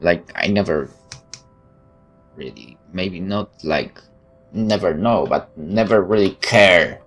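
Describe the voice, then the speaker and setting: A deep-voiced man narrates a visual novel as a let's play host. He slips between reading the text and casual side remarks. Game music plays behind him. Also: lively intonation, slow, a bit bright. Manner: off-the-cuff remarks between read passages.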